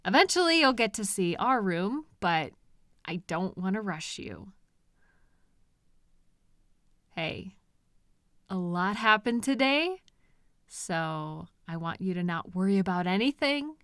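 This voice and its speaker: A woman acts out an obsessive female captor who believes she is in love. She talks slowly and coaxingly, with gentle, chatty sweetness that turns unnerving. It is an intimate audio drama with a very dry sound, addressed straight to the listener. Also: medium pitch, lively intonation, clean tone, no echo. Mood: soft, soothing. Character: obsessive, possessive female kidnapper, cheerfully deluded lover.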